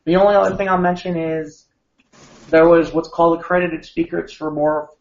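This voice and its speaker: A man co-hosting a podcast, a bit hoarse after a long weekend of talking, rambling and restarting his sentences. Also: on the high side, level tone, normal speed. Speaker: podcast co-host. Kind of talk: casual podcast chat. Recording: echoey room, dull lo-fi sound.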